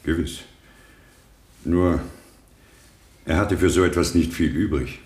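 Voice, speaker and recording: Very deep-voiced, assured stockbroker witness. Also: male voice, takes it slowly, darkish tone. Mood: composed. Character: firm, loyal male stockbroker witness, victim's friend. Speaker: actor. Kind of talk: 1970 German radio drama acting. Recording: roomy vintage radio studio sound.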